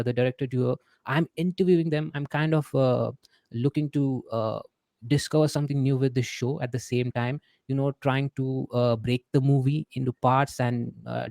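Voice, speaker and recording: A male podcast host introduces his guests in a chatty, meandering way, leaning on fillers as he goes. His voice is mellow and dark. It sounds dry and close, with no room sound. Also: mid-range voice, no echo. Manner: chatty, rambling with many fillers. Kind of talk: casual interview chat.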